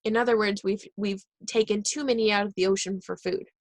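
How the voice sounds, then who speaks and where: A woman reading a children's book aloud, pausing and correcting herself now and then. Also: mid-range voice, steady tone, no echo. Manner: reads aloud with pauses and self-corrections. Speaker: reader.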